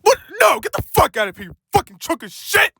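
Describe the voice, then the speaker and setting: A man voicing an angry male railway workman who shouts crude insults in a comic cartoon parody. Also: very high voice, very animated, brisk, gravelly, dry recording, clean studio sound, voice pushed up, heavily acted. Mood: enraged.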